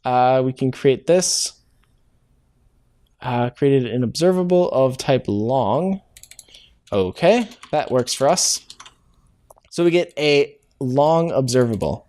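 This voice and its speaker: A man walks through code in an easygoing, unscripted way, pausing on filler sounds and correcting himself as he goes. His voice sits at an ordinary middle pitch for a man. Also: lively intonation, darkish tone. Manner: thinks aloud, hesitant, self-correcting with many "uh"s. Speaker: programming tutorial host. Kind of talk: casual live-coding walkthrough.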